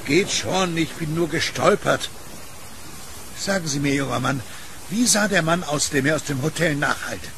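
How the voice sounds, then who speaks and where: An actor playing an urgent, distraught gentleman in a German-style horror audio drama. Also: on the high side, moderate pace, noisy background, natural voice. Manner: urgent, exclamatory. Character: agitated, distraught gentleman searching desperately in the night.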